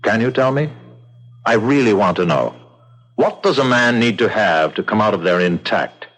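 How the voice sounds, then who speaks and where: A man playing a courteous, probing official in a radio drama, speaking with formal, measured politeness. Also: fairly low pitch, expressive, fairly bright tone. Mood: intrigued, solicitous. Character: courteous, probing male official of a secretive membership.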